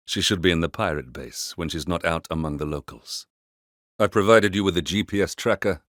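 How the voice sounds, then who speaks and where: A man playing a grave, controlled covert operative in a video game, speaking in a measured, deliberate and serious way. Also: low-pitched, no room sound, studio quality, lightly acted. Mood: businesslike, briefing. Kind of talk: video game voice acting, mission briefings and story narration.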